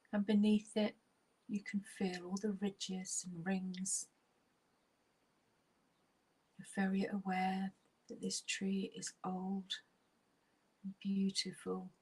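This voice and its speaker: Very breathy, slow-speaking woman guiding meditation. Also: medium pitch, low-quality recording. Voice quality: breathy, husky, often near a whisper. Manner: soft, soothing, unhurried, with long pauses. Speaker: guided meditation narrator. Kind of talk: guided relaxation meditation.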